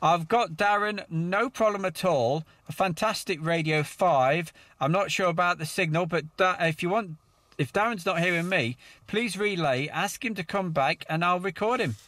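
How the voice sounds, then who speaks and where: Bright-voiced man running a radio net. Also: high-pitched, normal speed, no room sound. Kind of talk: casual amateur radio chat. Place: mobile radio setup.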